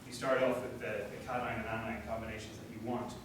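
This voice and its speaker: A young Irish man giving an academic talk as a lecturer, relaxed and self-deprecating, with frequent fillers. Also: fairly low pitch, fast talker. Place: seminar room. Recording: echoey room from far off, noisy.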